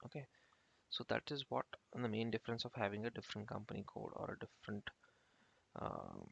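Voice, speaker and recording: A man giving an informal software tutorial as an instructor, explaining step by step in a halting, repetitive way. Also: on the low side, fairly even intonation, creaky, breathy edge. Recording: muffled lo-fi screen-recording voice-over.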